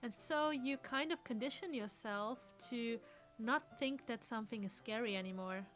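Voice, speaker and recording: Higher-voiced woman chatting on a let's play. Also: moderate pace, a bit bright, soft background music. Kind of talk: casual chat.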